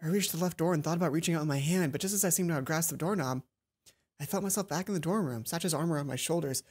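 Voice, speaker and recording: A woman's voice: low-pitched, fast, darkish tone, slightly rough, faint music behind. A woman hosting a let's play, reading visual novel narration aloud and dropping in casual asides.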